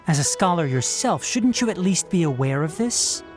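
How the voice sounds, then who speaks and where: Casting: guarded young healer-scholar in a game, formal and blunt, lively pitch movement, faint music behind. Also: male voice, moderate pace. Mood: firm disapproval. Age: young adult. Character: stern, principled young male scholar-healer. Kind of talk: video game character acting.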